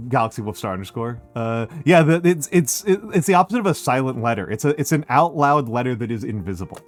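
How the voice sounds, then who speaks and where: An American man at a middle pitch, chatting loosely with his viewers and circling back over his own words with plenty of ums and uhs. Quiet music plays faintly underneath. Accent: American English. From United States. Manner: rambling, many fillers and repeated phrases. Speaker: streamer. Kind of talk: casual livestream chat reading viewer messages.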